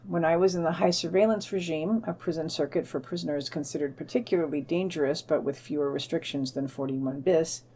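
A woman's voice: lowish voice, darkish tone. A woman co-hosting a podcast, reading a news digest in an informal, wry way. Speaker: podcast co-host and news reader.

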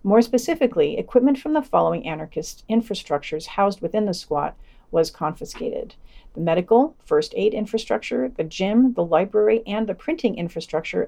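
A woman's voice: medium pitch, gravelly, mellow. Woman reading scripted news summaries. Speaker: podcast news reader.

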